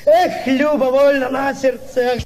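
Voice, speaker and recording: An actor playing a rowdy, carefree Cossack lad, gleeful and exclamatory, in a theatrical radio drama. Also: male voice, very high-pitched, flat delivery, a little slow, darkish tone. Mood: exhilarated.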